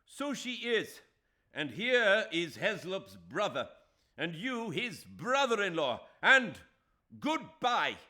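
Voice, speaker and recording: A man's voice: high voice, huge pitch swings, slow pace, sharp tone, pitched above the performer's own voice, exaggerated voice. A man voicing a proud, wounded Indian man who bursts into bitter, impassioned declarations in an audiobook. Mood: furious.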